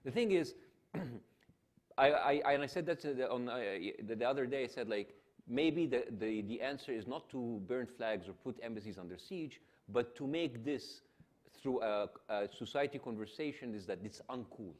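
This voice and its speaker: A man with an Egyptian accent hosting an on-stage public discussion, talking with many restarts and an animated delivery. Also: mid-range voice, normal speed. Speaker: panel host.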